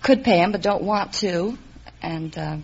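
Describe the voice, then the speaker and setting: A woman's voice: lowish voice, measured pace, creaky, husky. A woman in her twenties from Florida, answering a host's questions as a quiz show contestant. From Florida, United States. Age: 20s. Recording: muffled old radio, faint audience and noise. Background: studio audience.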